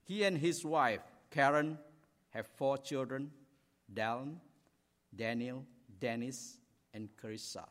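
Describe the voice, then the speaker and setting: A man's voice: mid-range voice, slow, fairly bright tone, big-room sound. A man from Indonesia reading an introduction of a guest pastor to a church, carefully, stumbling on a few words. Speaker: church member introducing the speaker. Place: church sanctuary.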